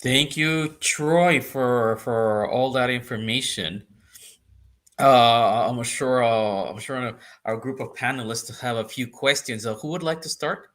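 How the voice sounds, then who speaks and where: A man's voice: mid-range voice, slow, a bit bright. Male moderator with a mid-range voice, courteous and slightly hesitant. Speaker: panel moderator. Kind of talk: hosting a pitch panel.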